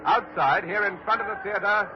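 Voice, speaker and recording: A man's voice: high voice, moderate pace, a crowd in the background, natural voice. An American man acting as an eager, polite news reporter giving formal live commentary in a 1940s radio drama. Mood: formal, expectant. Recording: muffled, very noisy 1940s radio recording.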